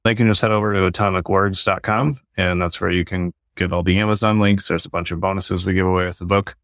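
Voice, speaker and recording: A man with a very low, deep voice talks through his ideas in earnest, motivational run-ons. The recording is dry and close, with no room sound. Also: steady tone, quick pace, a bit bright, dry recording. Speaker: guest author. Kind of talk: podcast interview talk.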